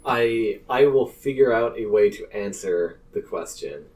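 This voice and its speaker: A man running a tabletop roleplaying game as game master, improvising narration in a deliberate, halting way. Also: fairly low pitch, darkish tone, distant mic.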